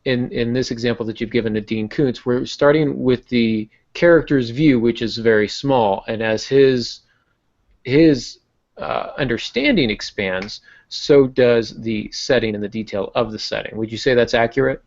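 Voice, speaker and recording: A man's voice: medium pitch, moderate pace, darkish tone. Analytical male podcast host, slightly muffled sound. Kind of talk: casual teaching discussion.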